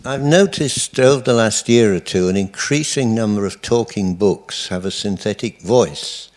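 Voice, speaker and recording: Guy from the audience asking a question, fairly low voice, measured and deliberate. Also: a little mellow. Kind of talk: spontaneous question at a lecture.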